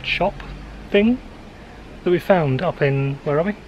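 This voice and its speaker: A man's voice: fairly high pitch, a little slow, muffled recording, a little hiss or hum. A man in his thirties, vlogging casually into a handheld camera with dry, understated humour. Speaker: travel vlogger. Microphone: handheld camera at arm's length. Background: loud music.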